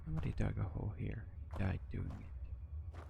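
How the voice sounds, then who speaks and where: A man giving soft-spoken ASMR commentary on a video game, hushed and often whispering. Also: lowish voice, animated, moderate pace, muffled recording. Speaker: gaming streamer. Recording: clear background noise, game ambience.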